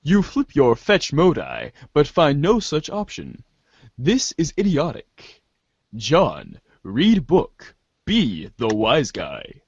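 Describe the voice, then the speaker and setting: Slow, animated male host and narrator. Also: a little high, slightly rough, no room sound, highs cut off. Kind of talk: amateur reader's theater narration.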